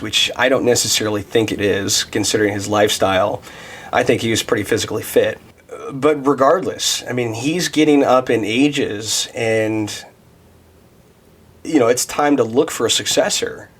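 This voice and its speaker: A man's voice: mid-range voice, moderate pace, breathy edge. A man in his thirties giving a casual devotional Bible teaching, thinking aloud with many restarts and fillers. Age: 30s.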